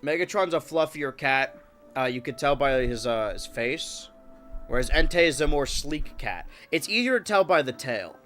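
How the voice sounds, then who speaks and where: A man's voice: on the high side, lively intonation, moderate pace, a bit bright, soft background music. A man live-streaming from home with a stuffed-up nose, chatting and joking with his viewers and his cats. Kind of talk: casual live-stream chat.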